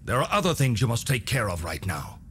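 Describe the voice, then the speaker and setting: A man playing a grave, caring older mentor in a video game, measured and paternal. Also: medium pitch, very animated, a bit bright, slight background noise. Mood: stern caution. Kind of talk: video game cutscene acting.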